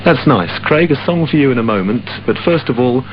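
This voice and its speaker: A man's voice: medium pitch, animated, brisk. A man presenting a late-evening radio show from a ship's studio, easygoing and chatty with small hesitations. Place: offshore radio ship studio. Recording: dull AM radio aircheck with music under.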